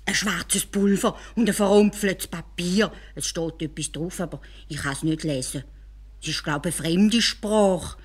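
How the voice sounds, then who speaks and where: A woman voicing a pleasure-loving, excitable male caliph in a Swiss German fairy tale, with an expressive, exclaiming delivery. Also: on the low side, a little slow, slightly rough. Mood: curious. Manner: drawling and sighing, or gushing with exclamations.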